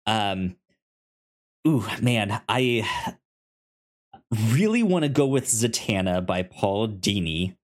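A man co-hosting a podcast in casual chat about comics, speaking haltingly with stammered, repeated syllables and words. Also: on the low side, animated. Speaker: podcast co-host.

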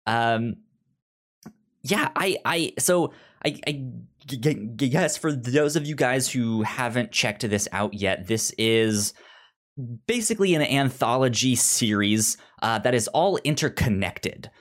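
A podcast host talking casually through a review in a halting way, often stuttering and repeating the first sounds of words. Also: mid-range voice, animated.

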